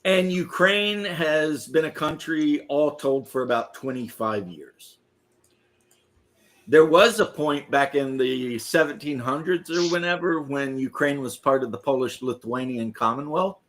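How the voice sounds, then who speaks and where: A man's voice: on the high side, animated, measured pace, fairly bright tone. Hesitant man in casual chat. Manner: hesitant, trails off, many fillers.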